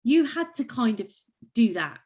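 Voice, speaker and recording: A woman co-host in easy, casual chat, making sarcastic remarks and laughing out loud. Her voice sits a little higher than usual. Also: sing-song, measured pace, husky. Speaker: podcast co-host.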